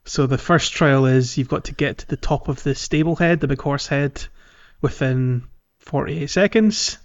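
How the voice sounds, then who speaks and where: A man's voice: mid-range voice, moderate pace, highs cut off. A man co-hosting a podcast, chatting casually about a video game in a hesitant, chuckly, conversational way. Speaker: podcast co-host.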